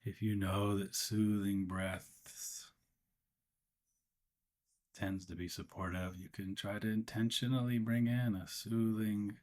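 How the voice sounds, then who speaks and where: A man leading a guided meditation as the guide, speaking gently and without hurry, often pausing mid-sentence. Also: lowish voice, little pitch movement.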